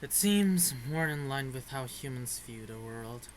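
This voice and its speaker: A man's voice: mid-range voice, lively intonation, unhurried, a little hiss or hum. A man giving casual, informal reaction commentary as he streams a game. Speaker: gaming streamer.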